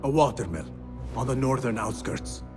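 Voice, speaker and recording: A man performs a young rebel assassin for a video game, earnest and determined. His phrasing is measured and formal, at an average male pitch. Music plays clearly behind him. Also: expressive, close to an everyday voice. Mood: reporting. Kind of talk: video game cutscene acting.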